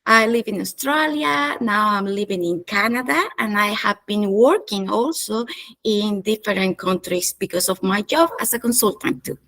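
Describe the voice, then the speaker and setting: A woman from Chile, a chief strategist, answering interview questions in a thoughtful way, with pauses and hesitations. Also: mid-range voice, fairly bright tone. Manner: slow, thoughtful, with hesitations and light laughs. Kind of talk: interview conversation.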